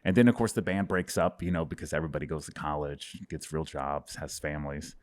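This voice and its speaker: A man's voice: very deep voice, fairly quick. A man in his thirties from Dallas, a stand-up comedian talking reflectively about his life in a home studio. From Dallas, Texas. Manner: reflective storyteller, animated hand gestures. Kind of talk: casual interview chat. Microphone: boom-mounted studio mic with headphones.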